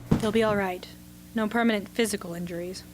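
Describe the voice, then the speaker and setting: Calm, mid-pitched actress as woman medic. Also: lightly acted. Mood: clinical, reassuring. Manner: clinical, measured. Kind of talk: amateur radio drama dialogue. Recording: faint hum behind the voice.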